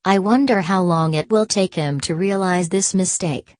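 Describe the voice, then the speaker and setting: A woman voicing a snarky female AI robot sidekick who mocks the host in a dry, flat way. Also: lowish voice, normal speed, dry recording, natural voice. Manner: dry, mocking, flat delivery.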